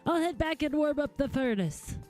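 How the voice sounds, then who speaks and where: A woman voicing a flamboyant, grandiose gentleman inventor from a fantasy game, with florid, theatrical phrasing. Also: high-pitched, lively intonation, normal speed, soft background music, voice pushed up. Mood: brisk, confident. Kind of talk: live read-aloud of game dialogue.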